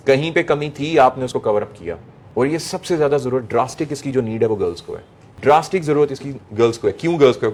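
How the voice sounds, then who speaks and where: A middle-aged man with a Pakistani accent speaks as a motivational lecturer. His pitch sits in the middle of the male range. He drives points home with repetition and rhetorical questions, often slipping into English phrases. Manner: mixes English phrases into speech. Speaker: motivational speaker addressing young people.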